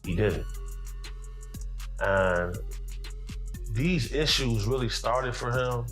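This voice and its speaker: A man giving deliberate, explanatory commentary as an interview guest. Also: mid-range voice, animated, fairly bright tone, soft background music. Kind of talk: conversational commentary.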